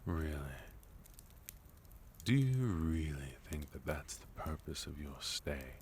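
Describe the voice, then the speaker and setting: A sophisticated fantasy villain, played by a man, speaks in a very deep, soft voice. He takes his time, courteous and gently teasing, in an intimate ASMR roleplay style. Faint noise sits behind the voice. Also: very animated, heavily acted. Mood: calm, thoughtful. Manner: soft-spoken, unhurried, gently teasing, with breathy murmurs. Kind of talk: ASMR roleplay, intimate scripted monologue to listener.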